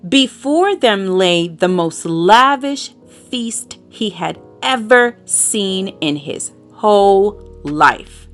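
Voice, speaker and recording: A woman reading a children's story aloud in an expressive way, drawing out words for dramatic emphasis. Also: mid-range voice, extremely slow, faint music behind. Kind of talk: expressive read-aloud narration for young children.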